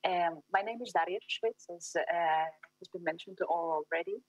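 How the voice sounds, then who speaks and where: Husky woman giving a formal webinar talk. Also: lowish voice, normal speed, no echo, low-quality recording. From Russia, Siberia. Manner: careful, formal, with frequent fillers and restarts. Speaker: academic presenter. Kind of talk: webinar presentation. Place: small room at home.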